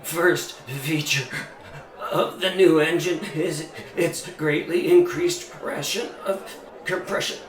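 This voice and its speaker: A man's voice: fairly high pitch, steady tone, takes it slowly. A man playing a music-hall memory performer in an audio play, formal and precise in his delivery. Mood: labored, earnest. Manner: formal showman's address, precise recitation. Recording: echoey, distant from the mic.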